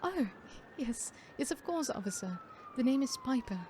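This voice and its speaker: A woman's voice: high voice, very animated, moderate pace, warm tone, a little hiss or hum, pitched above the performer's own voice. A woman playing an innocent, polite woman in an ASMR roleplay, sweetly apologetic, hesitant and flustered.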